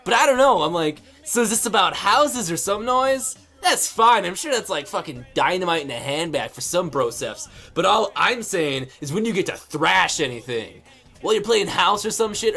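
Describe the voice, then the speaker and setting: A man's voice: sits high, bright, forceful tone, exaggerated voice. A man playing a dim, boastful bro game reviewer in a fan dub, rambling in exaggerated slang. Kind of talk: acted comic monologue in fan dub.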